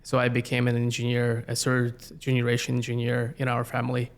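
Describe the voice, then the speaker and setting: Guest in his 30s, Yakut native speaker from Russia, gravelly, monotone delivery, halting. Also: medium pitch. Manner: halting, many fillers and restarts. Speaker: guest, community planner. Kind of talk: casual interview chat.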